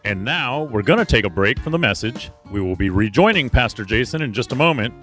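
A man announcing a break in the broadcast sermon, in a smooth announcer delivery. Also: medium pitch, expressive, a little fast, a bit bright, faint music behind. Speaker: program announcer.

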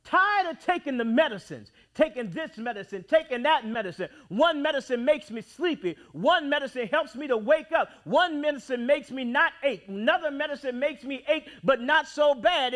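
A man preaching a sermon in a church, with dramatic swings between loud outbursts and quiet, drawn-out phrases. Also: very high-pitched, expressive. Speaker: pastor. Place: church sanctuary.

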